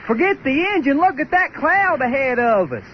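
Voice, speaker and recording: A brash country boy in a radio adventure. The voice is extremely high, blunt and jumpy. It comes through a muffled, clearly noisy recording. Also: very animated, moderate pace. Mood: urgent. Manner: blunt, folksy exclamations. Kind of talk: old-time radio comedy adventure acting.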